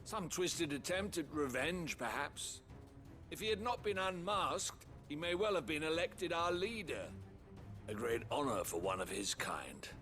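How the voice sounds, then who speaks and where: A man's voice: on the high side, animated, unhurried, pushed and bright, faint music behind. An actor playing a haughty, bitter and distrustful townsman in a video game, formal and dismissive. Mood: scornful. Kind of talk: dramatic video game acting.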